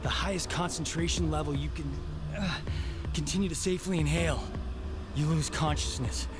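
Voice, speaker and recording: A man's voice: on the high side, steady tone, moderate pace, natural voice. A man playing an earnest young man trapped in a deadly video game, serious and urgent in delivery. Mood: serious, concerned. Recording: music behind the voice.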